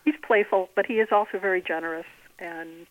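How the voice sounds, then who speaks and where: A woman speaking as an author guest in an interview, explaining things articulately in measured phrases. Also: mid-range voice, no room sound, narrow, tinny audio. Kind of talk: interview conversation.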